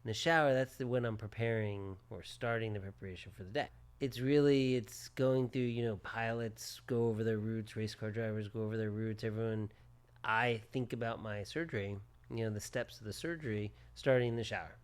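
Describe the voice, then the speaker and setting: A man's voice: fairly low pitch, steady tone, fairly quick, clear, resonant tone. A man in his forties, a plastic surgeon co-hosting a podcast, chatting casually in short repeated phrases. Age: 40s.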